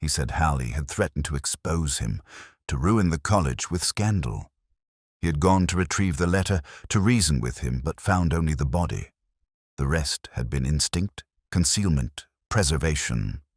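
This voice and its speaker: A man playing a weary, melancholy English police detective, narrating a radio mystery in a measured, literary, introspective manner. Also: rumbling low, expressive, breathy edge, no echo, clean studio sound, heavily acted. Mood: sombre, reflective. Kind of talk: slow literary first-person detective narration, radio drama.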